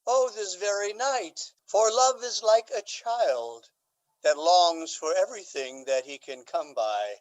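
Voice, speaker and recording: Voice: mid-range voice, takes it slowly, lightly acted, poor audio. An actor playing an aging, scheming Duke in a Shakespearean radio drama, with a theatrical, courtly and emphatic delivery. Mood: sly, eager. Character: aging, scheming Duke, aggrieved father feigning lovesickness.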